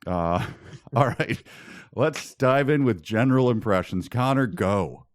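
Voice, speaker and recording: A man's voice: medium pitch, lively intonation, measured pace, no room sound, clean studio sound. A man co-hosting a podcast in casual, bantering chat, with playfully mock-formal wording. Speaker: podcast co-host.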